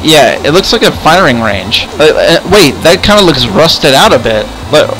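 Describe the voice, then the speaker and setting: Man playing a stammering, higher-voiced young adventurer. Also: big pitch swings, normal speed, poor audio. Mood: explaining, curious. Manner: hesitant, repetitive, stammering delivery. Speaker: roleplay video host and player. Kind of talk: improvised Minecraft roleplay.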